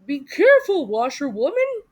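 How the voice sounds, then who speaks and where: A woman voicing a kindly, hearty gentleman from a storybook, speaking in polite exclamations. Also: high voice, wildly swooping pitch, normal speed, voice pushed up. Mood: warning.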